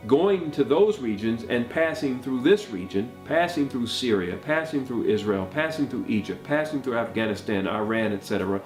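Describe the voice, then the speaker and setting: A man's voice: medium pitch, a little mellow. Man lecturing, emphatic, rhetorical questions, echoey room with faint music under it. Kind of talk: lecturing monologue.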